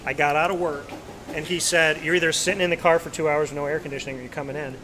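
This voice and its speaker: A man hosting a casual live talk show, telling animated stories and asking a guest questions. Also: a little high, quick pace, slight background noise, poor audio. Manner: chatty storyteller, animated asides. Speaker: host. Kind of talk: casual interview chat. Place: busy restaurant. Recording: loud crowd chatter behind.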